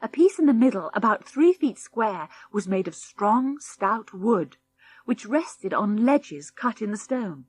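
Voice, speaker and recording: A British woman narrates a children's adventure audiobook. Her voice sits at a middle pitch and she tells the story expressively, with clear articulation and a sense of drama. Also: big pitch swings, a little slow, darkish tone, faint music behind.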